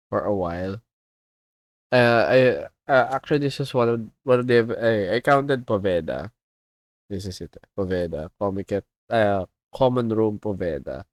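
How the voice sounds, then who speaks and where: A man with a Filipino accent rambles through a casual stream, slipping between English and Tagalog. He speaks slowly in a somewhat low voice, close and dry on the mic. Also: a little mellow, no echo. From Philippines. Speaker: streamer and comic artist. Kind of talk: casual livestream chat.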